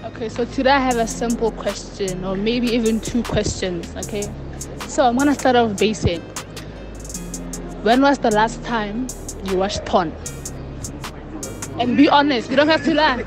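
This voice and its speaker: A woman's voice: a little high, normal speed, pauses often. A woman doing a casual street interview, teasing and upbeat, holding a phone as a mic outdoors. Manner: teasing, upbeat, pushes for answers. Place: outdoors at a school and inside a store. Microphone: phone held as handheld mic. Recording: beat music and crowd behind. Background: background music and crowd chatter.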